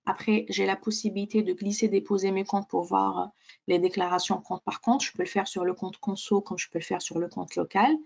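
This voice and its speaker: A woman giving an unscripted webinar demonstration of a software tool, explaining it step by step. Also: medium pitch, steady tone, a little fast, creaky.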